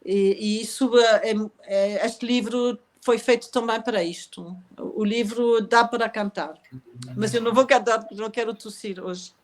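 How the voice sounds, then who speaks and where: Female author guest, gentle and reflective, non-native accent, slight cough, fairly high pitch. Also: fairly even intonation, moderate pace. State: has a cough. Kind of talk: conversational interview, reading aloud.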